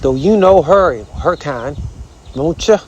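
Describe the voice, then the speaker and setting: A man's voice: fairly high pitch, animated, slow pace, dull audio, a little hiss or hum. A man voicing a folksy, pompous old armadillo uncle with a Southern drawl, blustering and repeating himself, in a storybook reading. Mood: urgent, solemn.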